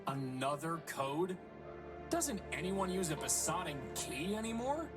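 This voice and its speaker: A man's voice: on the high side, sing-song, unhurried. A man voicing a small, sarcastic, eager robot sidekick in a video game, with wry, quipping delivery. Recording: loud music under the voice.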